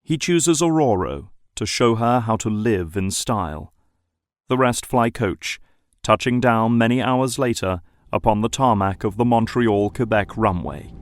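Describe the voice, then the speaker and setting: A man's voice: fairly low pitch, lively intonation, unhurried, no room sound, studio quality. A man reading scripted narration for an audio drama.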